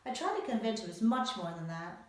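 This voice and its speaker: A woman's voice: mid-range voice, very animated, quick pace. A woman author giving an emphatic storytelling talk to young readers, posing rhetorical questions. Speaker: author presenting own book to young readers. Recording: very echoey room, distant from microphone.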